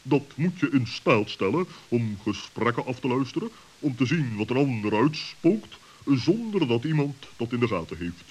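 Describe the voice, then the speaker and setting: A man playing a cautious, wise owl with a deliberate, pompous way of speaking in a children's radio play. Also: medium pitch, big pitch swings, darkish tone, husky. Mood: advising.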